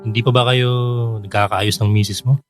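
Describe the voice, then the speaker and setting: A man's voice: on the low side, fairly even intonation, a little fast, lightly acted. A man playing a frank, caring friend who gives firm advice in a Filipino radio drama, with a Filipino accent. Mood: concerned. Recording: guitar music behind the voice.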